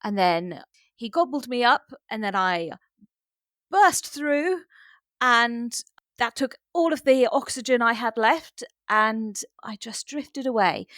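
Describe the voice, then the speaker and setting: Wistful B-movie actress, played by a woman, slow and sincere, lightly high pitch, mockumentary interview. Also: a bit bright, dry recording, clean studio sound. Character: earnest, wistful B-movie actress reminiscing on her career.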